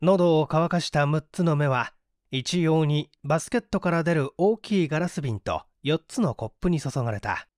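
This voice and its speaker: Guy reading a mystery story, slightly high voice, really animated pitch, dry close recording. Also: moderate pace, no room sound. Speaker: audiobook narrator, stage actor. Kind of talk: dramatic scripted narration of a mystery story.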